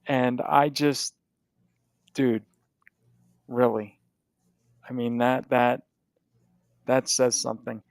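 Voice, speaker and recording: Podcast host, male, gravelly and a bit high, rambling and swearing, dry close mic. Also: fairly even intonation, takes it slowly, pauses often, dry recording. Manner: rambling, profane, restarts often. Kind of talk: casual chat.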